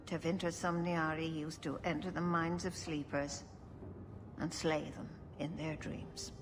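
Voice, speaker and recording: An actress playing a wise, grave elven keeper in a video game, formal and measured. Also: female voice, deep voice, little pitch movement. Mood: grave, instructive. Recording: noisy game ambience behind.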